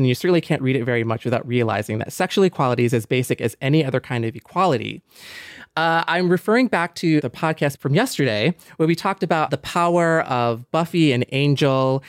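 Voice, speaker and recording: A man's voice: medium pitch, clear, resonant tone, no echo, studio quality. A man hosting a podcast in a casual, reflective discussion, thinking aloud in an earnest way. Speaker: podcast host.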